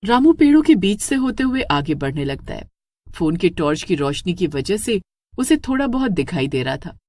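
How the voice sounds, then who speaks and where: A woman's voice: lowish voice, darkish tone, no room sound, clean studio sound. A woman narrates a scripted horror story in a dramatic, suspenseful way.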